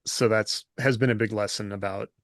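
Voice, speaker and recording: A man's voice: lowish voice, steady tone, moderate pace, crowd noise. A middle-aged American man chatting casually as a podcast co-host, candid and self-deprecating. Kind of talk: casual chat.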